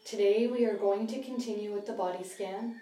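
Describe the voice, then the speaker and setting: Mellow, even-toned woman guiding meditation. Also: mid-range voice. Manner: gentle, unhurried instruction with long pauses. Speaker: mindfulness meditation guide. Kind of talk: guided body scan meditation. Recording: distant, roomy sound with soft music.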